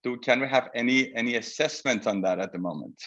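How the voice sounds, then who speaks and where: A man, giving a polite, measured webinar lecture over a video call. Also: mid-range voice, fairly bright tone. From Iran. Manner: polite, measured, addresses audience directly. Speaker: presenter, psychotherapy researcher. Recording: slightly dull video call audio.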